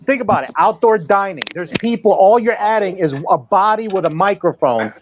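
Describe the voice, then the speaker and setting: A man's voice: sits high, lively intonation, brisk, background noise. A man chatting casually as a panelist on a show, recorded with dull, lo-fi microphone sound. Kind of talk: casual chat.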